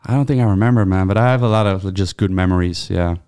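A man's voice: low-pitched, fast talker, darkish tone, clean tone. A man in his thirties with a Dutch accent, chatting casually as a podcast guest, rambling with fillers and restarts. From Netherlands. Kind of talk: casual interview chat.

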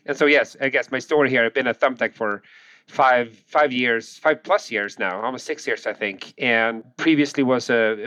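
A man in his forties from Sweden with a Swedish accent, telling his story as a podcast guest in a relaxed way with frequent chuckles. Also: mid-range voice, no echo. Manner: relaxed, chuckling, restarts often. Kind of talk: conversational interview.

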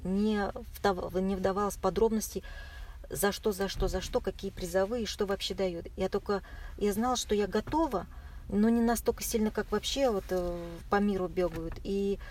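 A woman recounting her experiences as an interview guest in casual, halting speech, often searching for words. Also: medium pitch, fairly even intonation, darkish tone. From Russia. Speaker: interview guest, marathon runner. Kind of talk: casual spoken recollection. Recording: clear background noise.

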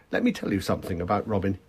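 A man's voice: deep voice, slightly rough. An actor playing an agitated, insistent man in his thirties with a British accent, in a radio drama. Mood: insistent, disbelieving. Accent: British English. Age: 30s. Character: distraught, emphatic well-dressed man in his thirties.

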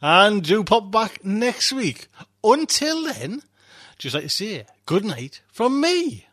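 Man, podcast host, high voice, very lively intonation, effusive courteous chat. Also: slow. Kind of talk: chatty show sign-off.

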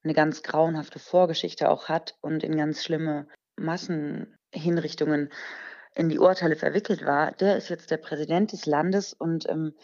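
A woman in her twenties from Germany answers interview questions earnestly, pausing on fillers as she explains. Her voice sits a little low. The sound is dull and lo-fi, like a remote call. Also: fairly even intonation, darkish tone. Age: 20s. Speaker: podcast guest, singer.

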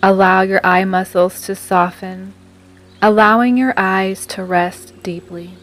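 A woman guiding a scripted meditation in a soothing, measured manner with long pauses. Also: mid-range voice, steady tone, fairly bright tone. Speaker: meditation guide and podcast host. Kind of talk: guided meditation, scripted narration. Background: music.

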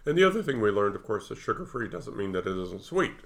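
Older male podcast cohost, low gravelly voice, bright edge, loose jokey rambling. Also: big pitch swings, fast talker. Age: 60s. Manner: rambling, jokey, full of fillers. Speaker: podcast co-host. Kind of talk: casual chat.

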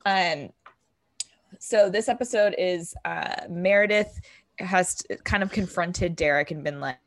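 A young woman with a booming voice, co-hosting a podcast and recapping a TV episode in casual, filler-heavy chat. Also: a little slow, no room sound. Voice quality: booming voice that projects. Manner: chatty, full of fillers like "like" and "um". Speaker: podcast co-host.

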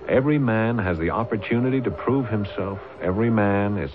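An actor as deep-voiced, fatherly Mister Jefferson. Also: close to an everyday voice. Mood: earnest, fatherly. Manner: earnest, paternal, measured, formal phrasing. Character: Mister Jefferson, genial, fatherly older gentleman of standing, peacemaker. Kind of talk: old-time radio drama acting. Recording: muffled, noisy old radio drama recording.

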